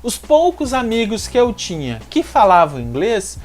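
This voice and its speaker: Lively Mineiro man giving tips. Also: sits high, measured pace. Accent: Mineiro Brazilian Portuguese. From Minas Gerais, Brazil. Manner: energetic, talks straight to the viewer. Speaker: YouTuber giving language-learning tips. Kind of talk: direct-to-camera advice vlog.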